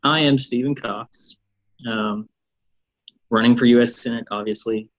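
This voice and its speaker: A man's voice: mid-range voice, steady tone, unhurried, dull audio. A man from Kentucky, a political candidate, giving plain, short interview answers with ums.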